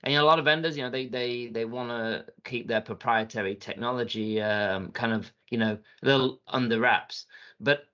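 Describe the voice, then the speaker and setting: A man's voice: medium pitch, moderate pace, pushed and bright, highs cut off. A man sharing his view conversationally as a panelist in a discussion.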